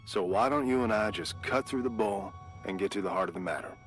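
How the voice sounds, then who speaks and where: Guy doing a low voiced security guard from a video game, blunt n wry, protective old hand. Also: brisk, soft background music, close to an everyday voice. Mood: blunt, skeptical.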